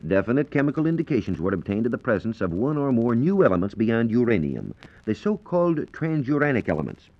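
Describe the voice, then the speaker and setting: A man's voice: medium pitch, sing-song, quick pace. A man with an American accent giving a formal, explanatory scripted science lecture as a 1940s radio reporter. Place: radio studio. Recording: dull, lo-fi 1940s radio recording.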